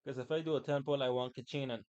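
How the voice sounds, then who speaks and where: A man's voice: mid-range voice, steady tone, quick pace, darkish tone, muffled recording. A man chatting casually on a gaming livestream in a slangy, informal way, talking to his viewers. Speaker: game livestreamer.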